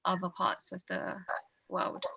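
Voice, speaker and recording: A woman's voice: lowish voice, very animated, a little slow, vocal fry, pushed and bright, breathy edge. A young woman with a British accent chatting as a guest on a video call. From born in the UK. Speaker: podcast guest. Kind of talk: casual chat. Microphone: remote video call.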